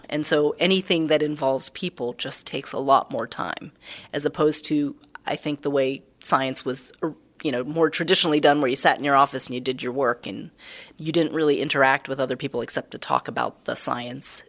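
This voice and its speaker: A woman's voice: deep voice, moderate pace, a bit bright. A woman in her fifties, a scientist in an engaged conversational interview who asks follow-up questions. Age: 50s.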